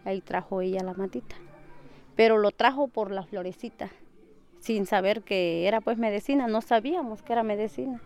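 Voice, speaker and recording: A woman's voice: medium pitch, normal speed, a little mellow. A woman, casually explaining things as an interviewee.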